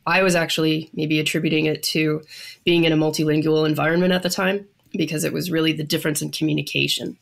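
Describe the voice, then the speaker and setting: A middle-aged woman with a Canadian accent, telling her story in a measured, reflective, conversational way as an interview guest. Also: low voice, level tone, creaky. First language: English.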